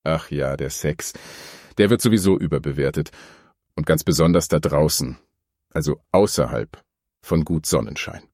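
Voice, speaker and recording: A man's voice: very deep voice, normal speed, a little mellow, dry recording, clean studio sound. An actor playing an earnest, grumbling plumber and young father in a radio play interview, rambling on in a matter-of-fact, opinionated way. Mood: dismissive, matter-of-fact. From Dithmarschen, northern Germany.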